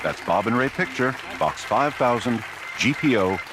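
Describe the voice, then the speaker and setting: A man reading a scripted radio announcement in a deliberate way, with music and crowd sound behind him. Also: mid-range voice, expressive, noisy background. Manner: deliberate, repeating details. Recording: loud music and audience behind.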